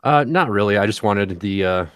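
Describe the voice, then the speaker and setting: A man with a low voice talks in an easygoing, modest way on a group video call. The sound is close and dry. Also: expressive, moderate pace, a bit bright, smooth, no room sound. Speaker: co-creator and voice actor. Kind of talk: casual chat on a group call.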